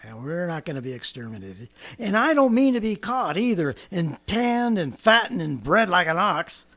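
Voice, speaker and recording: A man's voice: high-pitched, big pitch swings, normal speed, fairly bright tone, exaggerated voice. An actor in 1930s radio drama style playing a fanatical survivalist artilleryman with an American accent, ranting colloquially and swinging from brooding to frenzied. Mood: grim, defiant. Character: fanatical survivalist artilleryman, swept up in grandiose schemes.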